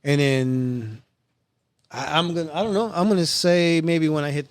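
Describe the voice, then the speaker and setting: A middle-aged man chatting as host of a relaxed podcast, his voice sitting a touch higher than most men's. He talks in an easygoing, rambling way and laughs along with his guests. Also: normal speed, no echo. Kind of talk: casual conversation.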